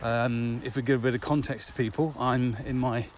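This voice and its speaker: A man in his fifties talks casually as a podcast co-host. His voice sits at a middling pitch, and there is clear outdoor noise behind him. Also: fairly even intonation, normal speed. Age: 50s. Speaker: podcast co-host, business owner. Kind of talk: casual conversational podcast chat. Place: outdoors at dusk. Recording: clearly noisy outdoor background.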